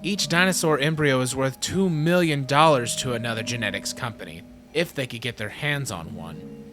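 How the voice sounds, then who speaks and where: A man's voice: medium pitch, normal speed, fairly bright tone, close to an everyday voice. A man playing a proud, self-assured geneticist explaining his lab to visitors in a fan audio drama. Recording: music under the voice.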